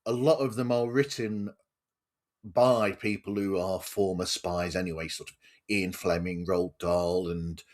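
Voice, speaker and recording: A man's voice: sits low, fairly even intonation, a little slow. A man hosting a casual podcast interview, talking discursively and rephrasing himself as he goes.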